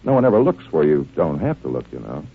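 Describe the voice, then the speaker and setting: An actor playing a genial, shrewd detective doctor in 1940s radio drama style, with a folksy, musing delivery. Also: male voice, a bass voice, sing-song, fast talker, vocal fry, husky, full character voice. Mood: puzzled, deliberate. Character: genial, shrewd male detective doctor investigating a desert murder. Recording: muffled, narrow-band vintage radio recording.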